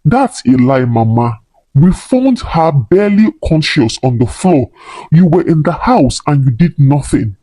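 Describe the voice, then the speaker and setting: A man's voice: a little high, very animated, measured pace, mellow, dry recording, poor audio. A man playing a loyal, protective doctor in a narrated story, earnest and admonishing. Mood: indignant. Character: male doctor, loyal, protective, frustrated best friend.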